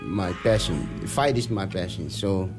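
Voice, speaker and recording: Laid-back Samoan-born man, low voice. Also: unhurried, over music. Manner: laid-back, loose, repetitive phrasing. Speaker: guest musician and fighter. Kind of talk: casual interview.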